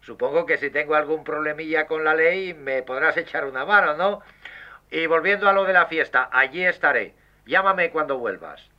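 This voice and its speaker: A man's voice: a little high, moderate pace, close to an everyday voice. A man playing a casual, teasing cousin leaving a scripted answering-machine message. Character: friendly male cousin leaving phone message. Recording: dull answering-machine sound.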